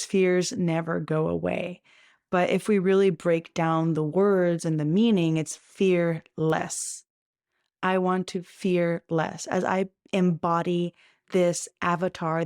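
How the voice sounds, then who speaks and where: A woman's voice: fairly low pitch, a little mellow, smooth, dry recording, studio quality. A woman hosting a motivational podcast, talking earnestly and encouragingly, often checking in with "right?" and restarting phrases as she thinks. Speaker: podcast host.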